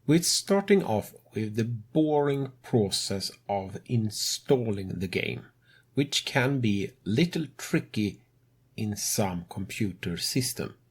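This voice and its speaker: A man speaking English as a second language, narrating a game tutorial as host in a measured way with non-native grammar. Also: on the low side, expressive, slightly rough. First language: not English.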